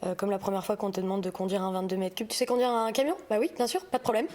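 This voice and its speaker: A woman's voice: medium pitch, rapid-fire, a little mellow. Woman, hesitant interview talk. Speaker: podcast guest, audiovisual sustainability consultant. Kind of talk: casual interview storytelling.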